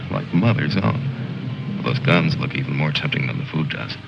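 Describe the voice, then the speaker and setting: A man's voice: extremely low pitch, animated, moderate pace, vocal fry, loud music behind the voice, exaggerated voice. An actor playing a wry, articulate male officer leading stranded soldiers, in the manner of a 1950s war film. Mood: calm, purposeful. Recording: noisy, muffled old film soundtrack.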